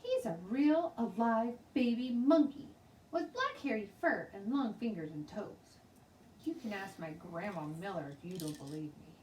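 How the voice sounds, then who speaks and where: A woman's voice: medium pitch, very animated, unhurried, a little mellow. A woman voicing a stubborn, chatty little kindergarten girl with childish, emphatic grammar in a children's storybook read-aloud. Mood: stubborn, insistent. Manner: childish ungrammatical speech, emphatic, insistent. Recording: roomy, distant mic, faint noise.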